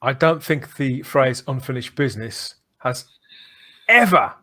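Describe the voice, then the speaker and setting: A man's voice: mid-range voice, animated, measured pace, bright, forceful tone. Guy hosting a podcast, chatting away, rambles a bit and keeps asking isn't it. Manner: discursive, often ends on tag questions. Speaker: podcast host. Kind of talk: conversational podcast discussion.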